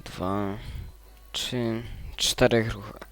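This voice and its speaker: Low-voiced man slowly muttering chess moves. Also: fairly even intonation. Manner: muttering, mulling moves half to himself; bursts of frustrated outcry. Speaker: gaming streamer. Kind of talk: thinking aloud while playing chess.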